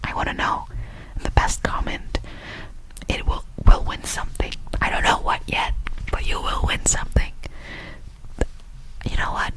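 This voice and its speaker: A woman's voice: normal speed, rough recording. A young woman vlogging in a whisper, rambling, giggly and self-conscious as she talks to her viewers. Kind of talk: whispered ASMR vlog.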